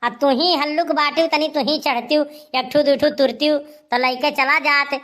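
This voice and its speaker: A woman's voice: high-pitched, fairly even intonation, moderate pace, fairly bright tone. A woman playing a heavyset, crafty village elder sister in a comedy cartoon, chatty and coaxing, with an Awadhi accent.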